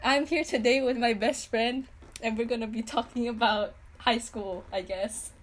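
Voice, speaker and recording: A young woman in her late teens hosts a casual podcast with a fairly high voice. She is chatty and giggles often between her sentences. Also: steady tone, normal speed. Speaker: podcast host. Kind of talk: casual chat.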